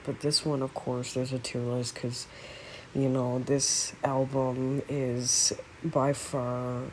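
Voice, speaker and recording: A woman's voice: rumbling low, a little mellow, slight background noise, rough recording. A woman with a cold and a nasal voice, chatting casually and rambling with many fillers while making a music tier list. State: congested with a cold. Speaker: music vlogger. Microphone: phone.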